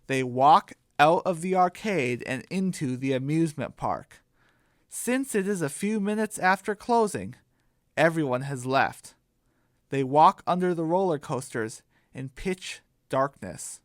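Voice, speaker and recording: A man tells a scripted comic story as narrator. His voice is on the high side and his delivery is slow, with a dry sound free of room echo. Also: expressive.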